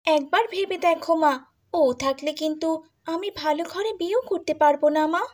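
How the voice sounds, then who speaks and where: A woman's voice: extremely high pitch, moderate pace, a little mellow. A woman voicing a spoiled, haughty young daughter in a cartoon, sneering and whiny. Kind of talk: Bengali cartoon dubbing.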